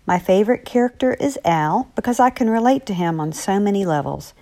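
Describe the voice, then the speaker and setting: A woman with a medium-pitched voice talks as an author to young listeners. She is chatty and encouraging, as in a friendly letters segment. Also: animated, brisk, mellow.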